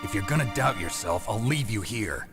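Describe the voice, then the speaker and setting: An actor playing a terse, aloof soldier in a video game, speaking in a gruff, clipped way. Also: male voice, animated, moderate pace, over music. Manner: gruff, clipped and low-key. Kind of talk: video game cutscene acting. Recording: loud game score behind.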